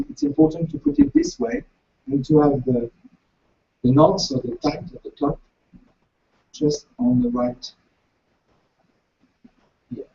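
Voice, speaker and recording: A man with a big, gravelly voice leads a practice session and walks his listeners through each step of a ritual. His mellow tone rises and falls a lot as he speaks. The recording sounds dull and lo-fi. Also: on the high side. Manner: lively, switching between French and English, laughing as he explains. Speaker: meditation teacher.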